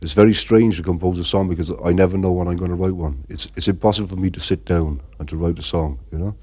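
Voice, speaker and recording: A man's voice: sits low, fast talker, warm tone, breathy edge. A middle-aged man from Ireland, the band's frontman, talking in a casual interview and rambling with constant "you know" fillers. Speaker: band frontman.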